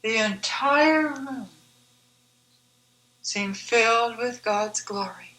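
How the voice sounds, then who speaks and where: A woman reciting her own devotional poem in a measured, deliberate, reverent manner. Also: a little high, bright, forceful tone. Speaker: author reading own poem.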